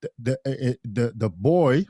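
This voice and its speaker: A man's voice: medium pitch, animated, mellow, husky, no room sound, clean studio sound. A man from Haiti, a podcast guest talking thoughtfully in casual conversation, often restarting his sentences. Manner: thoughtful, halting with frequent restarts.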